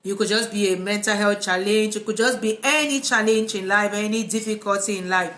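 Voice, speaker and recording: A woman with a Cameroonian accent speaks at a middling pitch in a relaxed, informal way, like a therapist hosting her own live vlog. The delivery is conversational and unscripted. The room around her adds a clear echo to the voice. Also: a little fast. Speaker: psychotherapist hosting a live video. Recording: echoey, reverberant room.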